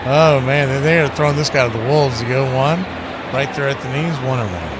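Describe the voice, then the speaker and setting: A man's voice: mid-range voice, brisk, background noise. A man calling live baseball play-by-play, conversational, with clipped calls and wry asides. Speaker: play-by-play radio announcer.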